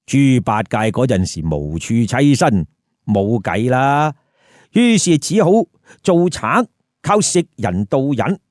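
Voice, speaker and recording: A man's voice: medium pitch, darkish tone, no room sound, clean studio sound. A man narrating a humorous story with commentary in a theatrical, colloquial, rhetorical way. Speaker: storyteller and host.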